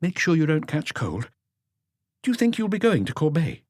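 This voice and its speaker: A man's voice: a little high, wildly swooping pitch, normal speed, a little mellow, no echo, studio quality, pitched above the performer's own voice. A man voicing a caring, fussing wife of a detective in an audiobook. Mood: concerned. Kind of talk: audiobook character voice.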